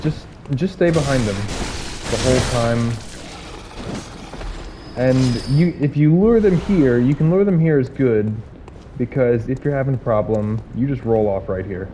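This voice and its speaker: A man giving casual gameplay commentary, hoarse from illness, rambling with many fillers and restarts. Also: medium pitch, background noise.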